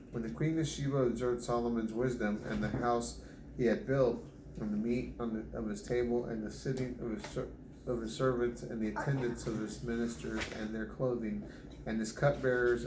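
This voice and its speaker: A man reading a Bible chapter aloud for his family, steady but often stumbling and restarting words, recorded at home. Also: medium pitch. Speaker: Bible reader. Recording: echoey room with background noise.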